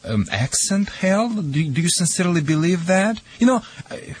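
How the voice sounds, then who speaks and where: A man's voice: high-pitched, expressive, moderate pace, breathy edge. Russian-accented man giving dog advice. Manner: talkative, broken English, chuckles often. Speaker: dog trainer guest. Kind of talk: call-in radio advice.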